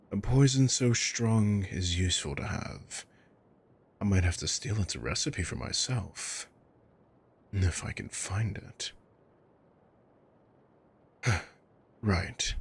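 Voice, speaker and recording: A man playing a coolly mocking, possessive villain in an ASMR roleplay, with a husky, breathy voice and a deliberate, menacing drawl. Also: lowish voice, exaggerated voice. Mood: pensive. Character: sadistic male villain, coolly mocking, possessive, taunting his captive. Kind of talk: ASMR roleplay audio drama.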